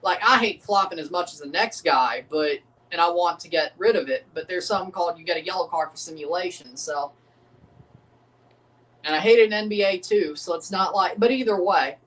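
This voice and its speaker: A man's voice: high voice, brisk, fairly bright tone, distant mic, poor audio. Rambling, profane man. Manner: rambling, profane, second-guessing himself. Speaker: livestream host reacting to a match. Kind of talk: casual livestream reaction chat.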